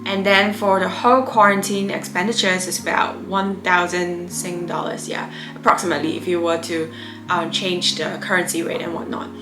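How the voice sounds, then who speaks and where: A woman's voice: lowish voice, little pitch movement, normal speed. A young woman from Malaysia, giving chatty, explanatory advice in a casual vlog, often saying "um" and "you know.". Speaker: vlogger. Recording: echoey room with music. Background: background music.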